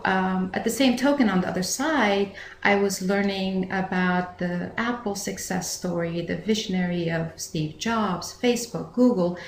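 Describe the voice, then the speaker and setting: Middle-aged woman in an echoey room. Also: on the low side. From Afghanistan. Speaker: founder of a charitable bottled water company, interview guest. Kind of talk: interview answers. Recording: echoey room, faint background noise.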